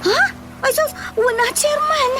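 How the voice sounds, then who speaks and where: A woman playing an excitable, giggly village woman in an Ilocano radio comedy, with drawn-out, exclamatory reactions and an Ilocano accent. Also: very high voice, very noisy. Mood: flattered, surprised.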